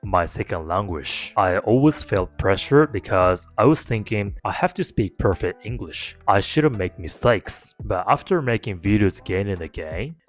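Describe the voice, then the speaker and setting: A man with a Japanese accent, a native Japanese speaker, giving an earnest, encouraging talk to camera about learning English. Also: fairly low pitch. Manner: earnest, encouraging, plain-spoken. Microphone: talking to camera.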